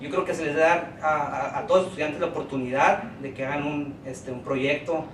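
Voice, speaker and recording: A man's voice: fairly high pitch, steady tone. A Mexican man speaking on a panel, hesitant and full of fillers. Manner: hesitant, many fillers, slow. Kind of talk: panel talk. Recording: echoey hall, distant mic, noisy.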